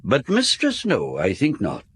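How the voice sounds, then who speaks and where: An actor playing a courteous, wistful old solicitor in a radio drama, formal and circumspect, with hesitant stammers. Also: male voice, mid-range voice, wildly swooping pitch, a little slow, fairly bright tone, husky, heavily acted. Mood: discreet, loyal. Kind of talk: British radio drama acting.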